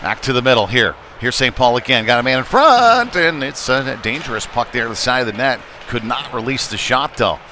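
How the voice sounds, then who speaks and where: A man doing live hockey play-by-play in a conversational, folksy way with hesitant pauses. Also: medium pitch, lively intonation, pushed and bright. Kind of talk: live sports commentary. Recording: noisy indoor rink ambience.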